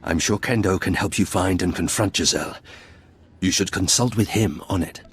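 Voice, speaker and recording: Deep-voiced, formal henchman. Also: breathy edge, soft background music. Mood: resigned, polite. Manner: polite, measured, formal. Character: smooth-talking, formal henchman of a duke. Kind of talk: video game character acting.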